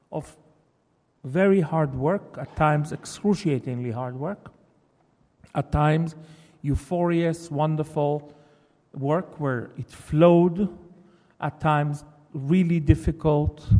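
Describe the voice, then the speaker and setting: Halting, thoughtful man speaking in a hall. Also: a little high, dark tone. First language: Hebrew. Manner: thoughtful, halting, with many restarts. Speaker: novelist giving a talk. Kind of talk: reflective public talk. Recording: long reverb of a hall.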